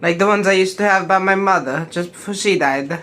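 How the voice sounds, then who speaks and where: A woman plays a young male warrior in an audio drama parody, brooding and devoted. The voice sits at an ordinary middle pitch for a woman. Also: a little fast, fairly bright tone, close to an everyday voice. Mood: troubled.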